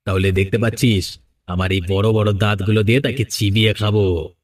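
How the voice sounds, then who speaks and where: A man's voice: on the low side, fairly even intonation, moderate pace, close to an everyday voice. A man voicing a menacing, vengeful undead cannibal sorcerer in a Bengali horror cartoon, with a theatrical threatening manner. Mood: gloating, menacing. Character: menacing flesh-eating undead sorcerer ghost, vengeful father.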